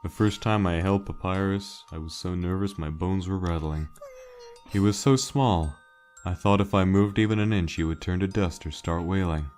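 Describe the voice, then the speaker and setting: A low, weary male skeleton monster played by a man tells his story over faint music. He is a guilt-laden joker, subdued and wistful, halting as he speaks in a dramatic comic dub. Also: a little mellow, close to an everyday voice. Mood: wistful, reflective.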